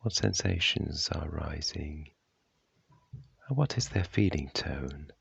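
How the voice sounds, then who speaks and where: Male meditation guide: extremely low, gravelly voice, measured delivery, long pauses between soft instructions. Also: lively intonation, dry recording, lo-fi sound. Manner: gentle, unhurried, measured phrasing.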